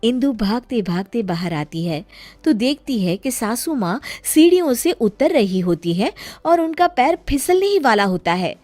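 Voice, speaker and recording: A woman tells a scripted moral tale as narrator. She speaks slowly and close up, without room echo. Music plays underneath. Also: on the high side, sing-song, a little mellow. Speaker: storyteller, narrator.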